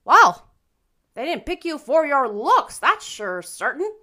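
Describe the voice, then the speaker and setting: A woman's voice: very high-pitched, big pitch swings, a little slow, bright, forceful tone, pitched above the performer's own voice, full character voice. A woman voicing a blunt, opinionated older village busybody in a storybook, emphatic and scandalized. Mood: critical.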